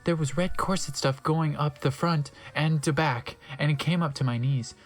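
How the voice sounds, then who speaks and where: A narrator giving a theatrical, comic dramatic reading of fan fiction, breaking off for deadpan asides. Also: mid-range voice, moderate pace, faint music behind.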